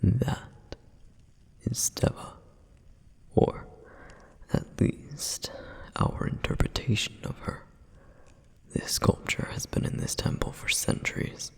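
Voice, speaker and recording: A man whispering as a gentle flame demon. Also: slow, pauses often, lightly acted. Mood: wistful whisper. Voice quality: soft whispered delivery. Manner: wistful, reflective storytelling. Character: dutiful protective male flame demon, gentle. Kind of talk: ASMR roleplay.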